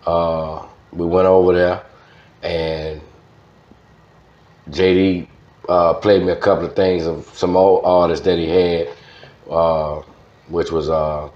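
Older man, low voice, Louisiana Southern accent, easygoing folksy storyteller in a muffled recording. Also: level tone. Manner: unhurried, folksy, with frequent "man" and "you know". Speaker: interview guest, music promoter. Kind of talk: casual reminiscing interview. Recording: roomy, dull lo-fi sound.

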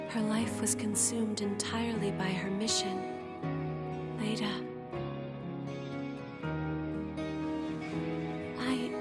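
An actress playing a dutiful young female attendant in a game, speaking formally and earnestly. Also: a little high. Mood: wistful. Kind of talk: English video game cutscene acting. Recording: loud music under the voice.

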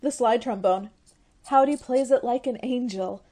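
A woman's voice: on the high side, unhurried, dark tone. A woman playing an eager, naive young college girl, chirpy and gushing, in old-time radio comedy style.

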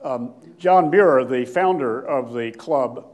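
Older man lecturing, loud and halting. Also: medium pitch. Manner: loud, plain-spoken, halting with many fillers and restarts. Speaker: guest lecturer, archaeologist. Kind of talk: public lecture with slides. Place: lecture hall with seated audience. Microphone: podium microphone, standing at lectern.

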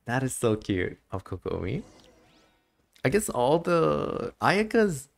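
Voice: mid-range voice, very animated, slow, vocal fry. A streamer giving casual, chatty commentary on a game and reading its dialogue aloud.

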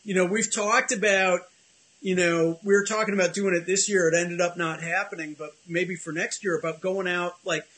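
A man's voice: high voice, steady tone, normal speed, bright, forceful tone, rough recording. A middle-aged man hosting a casual video call chat from his home office, rambling and self-deprecating with many restarts. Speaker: host.

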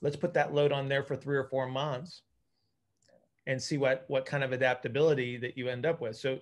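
A middle-aged man explaining technical ideas informally as a coach on a video call, repeating words as he thinks aloud. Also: medium pitch. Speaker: coach and physical therapist hosting a group call. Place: indoor room at home, group video call.

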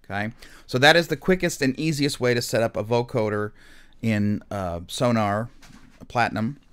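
A man instructing in music software in a casual, unscripted and slightly hesitant explanatory way, as a screen tutorial. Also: mid-range voice, normal speed, smooth.

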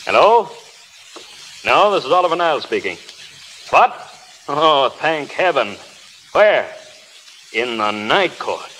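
An actor playing a protective, mature studio boss, gentle and concerned, in a 1930s radio drama. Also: male voice, fairly high pitch, big pitch swings, slow pace, long pauses, full character voice, poor audio. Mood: relieved. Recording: old hissy radio broadcast.

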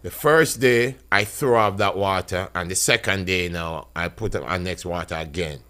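Fifties guy on a podcast, kinda low voice, gets super animated, close boom mic. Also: normal speed. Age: 50s. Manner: animated, big pitch jumps when excited. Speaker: guest chef. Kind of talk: casual interview talk. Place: small podcast studio. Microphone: studio boom mic, close.

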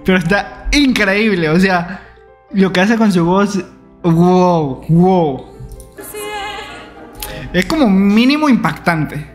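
Excitable young man reacting over show music. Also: high voice, slow pace, long pauses. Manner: excitable, thinks aloud, many restarts. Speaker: reaction video host. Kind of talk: casual reaction commentary. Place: small home studio room. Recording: show music playing behind.

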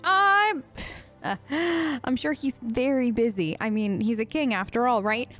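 A woman's voice: on the high side, slow pace, fairly bright tone, faint music behind. A woman hosting a gaming video, improvising role-play chat in a chatty, polite, rambling way.

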